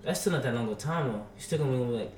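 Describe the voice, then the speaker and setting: A man's voice: mid-range voice, lively intonation, racing, far from the mic, a crowd in the background. A man in a casual chat among friends, arguing his point in a laid-back, easygoing way. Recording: echoey room, off mic.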